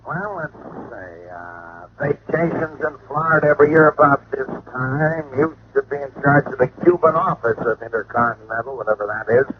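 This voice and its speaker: An American actor playing an exasperated, sarcastic police lieutenant in an old radio drama. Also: male voice, mid-range voice, lively intonation, moderate pace, natural voice. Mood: businesslike, dry. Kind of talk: 1940s-50s radio drama acting. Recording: dull, hissy vintage radio recording.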